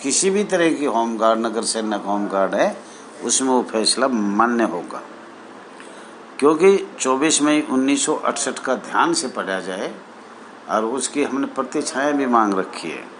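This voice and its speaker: A man addressing association members as their leader, deliberate and repetitive, in formal official phrasing. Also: medium pitch, lively intonation, slight background noise, poor audio.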